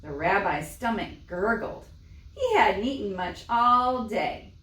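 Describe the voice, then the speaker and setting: Slow, animated woman reading at storytime. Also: mid-range voice. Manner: expressive, simple short phrases for young children. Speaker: library storytime reader. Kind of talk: animated children's storytime narration. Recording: echoey room, distant mic.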